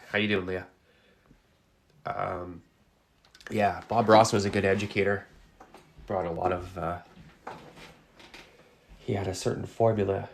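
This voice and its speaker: A low, gravelly male voice, stuffed up from a cold, chatting softly as he paints in his studio. He talks in a halting, easygoing way and fills the gaps with ums and uhs. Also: slight background noise. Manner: soft-spoken, halting, many fillers. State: congested with a cold. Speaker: painting instructor. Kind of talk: relaxed live-stream chat while painting. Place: art studio, indoors.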